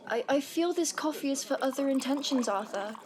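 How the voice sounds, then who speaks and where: High-voiced, timid young Victorian woman. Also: animated, fairly quick, background noise. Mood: nervous. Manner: stammering, meek, polite. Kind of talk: audio drama acting.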